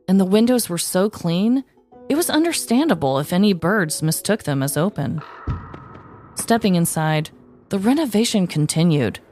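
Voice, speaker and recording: A woman's voice: on the low side, a little mellow. A woman hosting a podcast, narrating a scripted story in a descriptive, mock-dramatic way. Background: music.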